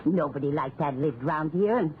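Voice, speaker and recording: An actress playing a stubborn, superstitious village housekeeper with a folksy, scolding manner in a 1940s radio drama. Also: female voice, low-pitched, moderate pace, lightly acted. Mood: wary scolding. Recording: tinny, noisy 1940s radio recording.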